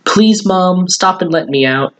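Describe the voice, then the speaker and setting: A man's voice: high-pitched, a little slow, fairly bright tone, pitched above the performer's own voice. A man voicing a whiny, sulky schoolboy who pleads and protests, as in a storybook reading. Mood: urgent pleading.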